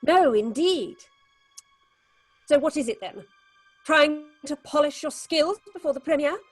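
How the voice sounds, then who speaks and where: An actress playing a stern, anxious, domineering theatre director who talks in a melodramatic way in an audio horror drama. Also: female voice, high voice, lively intonation, a little slow, faint music behind. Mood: suspicious, cutting. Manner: imperious, melodramatic, talks aloud to herself.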